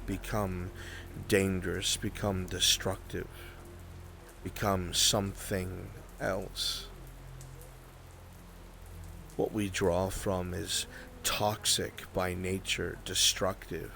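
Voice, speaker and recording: A man playing a grave, cautioning scholar of dangerous magic in a fantasy roleplay, speaking in solemn, formal phrases with deliberate pauses. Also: low voice, vocal fry, a bit bright, a little hiss or hum, exaggerated voice. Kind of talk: improvised fantasy roleplay acting.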